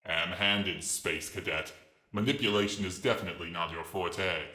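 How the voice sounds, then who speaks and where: A man voicing a huge old scaly pterosaur monster in a dramatic reading, raspy and ragged, with slow, mocking, grandiose menace. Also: deep voice, fairly even intonation, bright, forceful tone, roomy sound. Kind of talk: dramatic reading of fan fiction.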